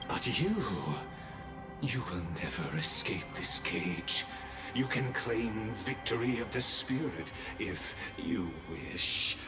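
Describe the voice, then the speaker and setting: Deep, slow, smug villain. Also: male voice. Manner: mock-courteous, taunting, theatrical. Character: smug, mocking male villain, coolly deferential jailer. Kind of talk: dramatic scripted video game acting. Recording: loud music behind the voice. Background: game soundtrack music.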